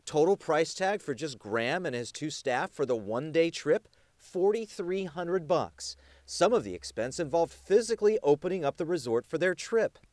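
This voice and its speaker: News reporter, male: emphatic, dramatic pauses, swooping pitch, dry close recording. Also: very animated, darkish tone, no room sound. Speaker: television news reporter and anchor. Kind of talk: scripted TV news narration.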